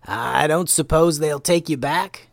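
A man's voice: on the high side, measured pace, vocal fry, no room sound, studio quality, pitched above the performer's own voice. A man voicing an easygoing, folksy ninja master in a children's story, with a casual drawl. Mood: thoughtful.

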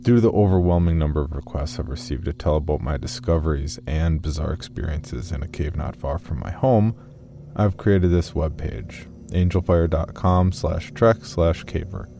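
A man playing an earnest, guarded amateur caver, narrating his journal in a measured, matter-of-fact way for an audio horror drama. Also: extremely low pitch, creaky, warm tone. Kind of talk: first-person scripted horror narration.

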